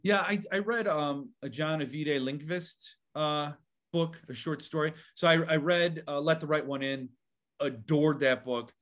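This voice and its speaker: A man hosting a book channel interview, enthusiastic and halting, full of fillers and restarts. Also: a little high, a bit bright. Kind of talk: casual interview conversation.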